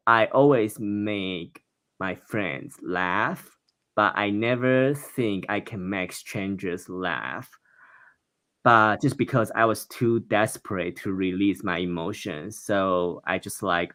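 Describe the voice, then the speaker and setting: Taiwanese man, low voice, dry sound. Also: fairly bright tone, no echo. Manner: earnest, plain-spoken, laughs easily. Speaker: stand-up comedian, guest. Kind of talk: interview conversation.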